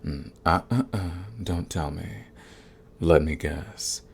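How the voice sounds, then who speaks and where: An actor playing a suave, sadistic vampire lord in an ASMR roleplay, with a theatrical, silky, condescending manner and a husky, gravelly voice. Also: male voice, low voice, a little hiss or hum, heavily acted. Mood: taunting. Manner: theatrical, silky, condescending endearments, drawn-out phrasing. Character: suave sadistic male vampire lord, predatory, mocking, possessive.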